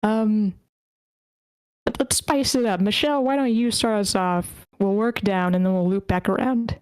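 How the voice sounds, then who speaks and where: A woman's voice: medium pitch, normal speed, darkish tone, smooth, dry recording. Woman moderating casually, dry close sound. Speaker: host and moderator of a script table read.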